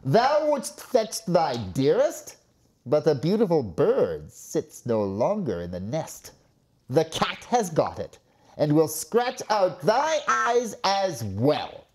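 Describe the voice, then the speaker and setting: A man's voice: fairly high pitch, huge pitch swings, slow, darkish tone, voice pushed up, exaggerated voice. A man voicing a wicked old enchantress from a fairy tale, mocking and theatrical, drawing out the words. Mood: mocking, gloating. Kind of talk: storybook fairy tale character.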